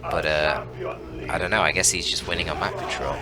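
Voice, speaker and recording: A man commentating on a strategy game match as a shoutcaster, explaining tactics analytically as he goes. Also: low-pitched, fairly even intonation, fairly quick, over music. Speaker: esports shoutcaster.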